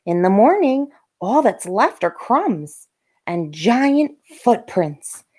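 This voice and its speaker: A woman's voice: mid-range voice, big pitch swings, slow, mellow. A woman voicing a boy cat, an eager and dramatic storyteller in a children's read-aloud. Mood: excited, spooky. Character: Bob, excited boy cat telling spooky campfire tales.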